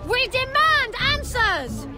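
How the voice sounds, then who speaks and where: Earnest, high-voiced, aggrieved townswoman. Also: sing-song, takes it slowly, over music, exaggerated voice. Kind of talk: video game cutscene acting.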